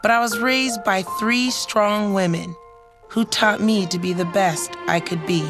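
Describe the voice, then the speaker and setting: A woman giving an earnest, deliberate personal testimony in an advertisement. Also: mid-range voice, fairly even intonation, music in the background.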